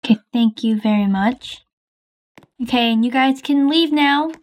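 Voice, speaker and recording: High-voiced woman playing roleplay. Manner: quick, chatty, uses fillers. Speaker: roleplay player voicing several characters. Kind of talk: casual game roleplay.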